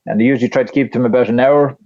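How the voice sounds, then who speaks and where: A man with a flat Tipperary Irish accent, chatting casually as a podcast guest, rambling with lots of fillers and restarts. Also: medium pitch, very fast, no room sound, lo-fi sound. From Ireland. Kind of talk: casual interview chat.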